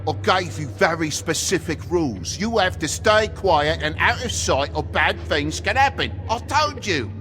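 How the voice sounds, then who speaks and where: A man's voice: on the high side, lively intonation, a little slow, hardly any pauses, bright, forceful tone, a little hiss or hum. A man voicing a stern, anxious, protective father toad in a video game, given to scolding. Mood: exasperated scolding. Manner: scolding, exasperated.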